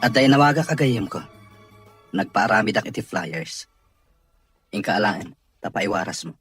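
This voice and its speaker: An actor as a husky, irritable male boss. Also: lowish voice, normal speed, a bit bright, soft background music. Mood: businesslike. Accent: Ilocano. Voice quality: husky, rough. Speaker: radio drama actor. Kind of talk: Filipino radio drama dialogue.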